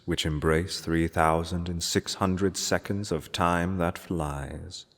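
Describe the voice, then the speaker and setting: A man reading gothic horror fiction aloud as a dramatic narrator, in a theatrical, measured manner with deliberate pauses. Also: deep voice. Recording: long cavernous reverb.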